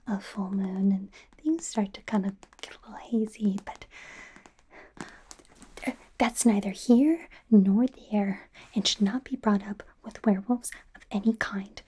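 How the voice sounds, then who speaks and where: A woman voicing a bumbling, eager werewolf doctor in training in a soft breathy whisper, stammering through an ASMR exam roleplay. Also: medium pitch. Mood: defensive, flustered. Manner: hesitant, stammering, softly flustered. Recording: faint background noise, close-up whispering.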